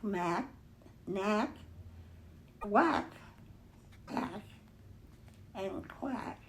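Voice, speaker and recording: An older woman with a heavily creaky voice reads a picture book to children. She goes slowly, with lively rises and falls, and stops now and then to remark on the pictures. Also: medium pitch. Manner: expressive, pausing to remark on the pictures. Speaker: storybook reader.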